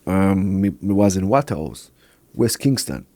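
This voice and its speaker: Laid-back montreal reggae guy, french first then patois, dark mellow tone, bit low. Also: lively intonation, unhurried, no echo. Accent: Jamaican patois over a Quebec French base. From Montreal, Quebec. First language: Quebec French. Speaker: reggae artist guest. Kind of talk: casual podcast interview.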